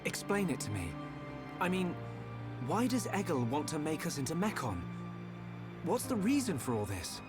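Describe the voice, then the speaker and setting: An earnest young hero full of troubled questions. His high voice swings expressively with urgent feeling, over prominent game music. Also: big pitch swings, normal speed, pauses often. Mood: pleading. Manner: urgent, searching, emotional. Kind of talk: video game cutscene acting. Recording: loud music behind the voice.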